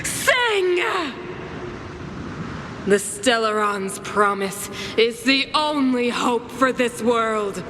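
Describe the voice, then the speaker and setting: A woman voicing a cold, imperious female ruler and grandiose villain in a video game cutscene, speaking in a measured, theatrical way. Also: fairly high pitch, sing-song, in a large hall, background noise, heavily acted. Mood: grandiose, menacing.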